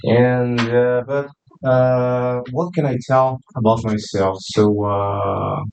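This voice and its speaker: A man's voice: medium pitch, fairly even intonation, creaky, darkish tone. Tired man introducing himself. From Brazil. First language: Portuguese. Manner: friendly, halting, with many uhs. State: tired from travel. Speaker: new student. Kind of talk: casual self-introduction. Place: small classroom.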